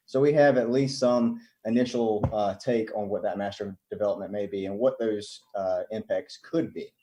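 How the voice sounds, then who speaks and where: A man giving an off-the-cuff technical explanation as a government official, measured and bureaucratic. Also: on the low side, steady tone, background noise. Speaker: transportation department official.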